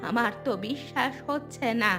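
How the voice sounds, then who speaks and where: A woman's voice: high-pitched, normal speed, over music. A woman playing a worried, fearful village mother in a cartoon, pleading anxiously. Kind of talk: Bengali cartoon voice acting.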